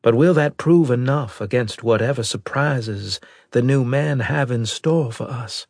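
A man's voice: medium pitch, unhurried, darkish tone, no echo, clean studio sound, voice pushed up. A man voicing an earnest, loyal female military brigadier in an audiobook, worried but resolute, with formal, emphatic speech. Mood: doubtful. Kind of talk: audiobook character dialogue.

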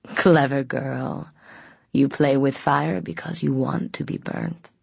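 Woman reading as a brooding, formal male vampire, gravelly. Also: very deep voice, steady tone, slow, darkish tone, dry recording, full character voice. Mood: menacing. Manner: oddly formal, ornate speech.

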